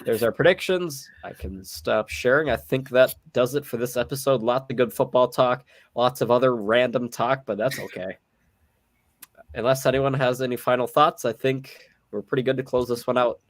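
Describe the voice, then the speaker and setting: A man's voice: mid-range voice, moderate pace. A young man hosting a casual podcast chat, sounding sick and worn, steering the talk in an easygoing way. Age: young adult. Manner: easygoing, runs the segment. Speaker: podcast host.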